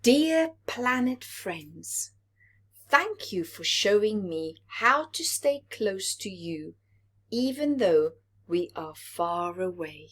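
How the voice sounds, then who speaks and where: Storybook role: small boy planet, played by a woman, childlike, affectionate, earnest, animated but unhurried. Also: medium pitch, heavily acted. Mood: grateful, tender.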